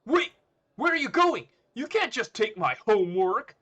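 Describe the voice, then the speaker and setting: An indignant student acted by a man in a narrated story, speaking high above a plain register. The voice is strained, rough and protesting, easily flustered. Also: very animated, measured pace, sharp tone, highs cut off, full character voice. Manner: stammering, protesting.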